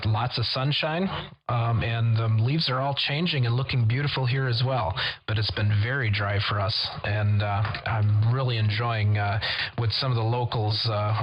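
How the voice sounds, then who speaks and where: Male ham operator, measured and explanatory, compressed dull radio audio with slight static. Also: mid-range voice. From United States. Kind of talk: unscripted two-way radio chat. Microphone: handheld-type vocal microphone, processed and compressed. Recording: muffled radio transmission, faint static.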